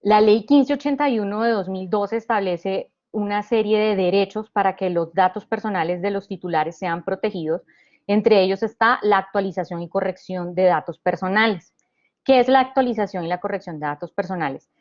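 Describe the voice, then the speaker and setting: Woman from Honduras, panel presenter, mid pitch, explains step by step, restarts and "eh" fillers, slightly muffled audio. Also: moderate pace. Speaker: panelist presenting a government digital service.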